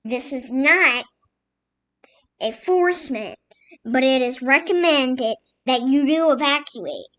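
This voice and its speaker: A woman reading a scripted mock emergency broadcast as an announcer, in a formal, halting manner. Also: high-pitched, animated, fairly bright tone.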